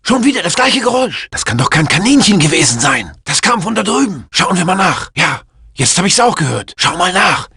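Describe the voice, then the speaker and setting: An actor playing an edgy, suspicious security guard on patrol in a video game, with a raspy, creaky voice. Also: male voice, high voice, sing-song, fairly quick, pushed and bright, no room sound, studio quality, full character voice. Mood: wary, alert. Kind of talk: German dub of game guard barks.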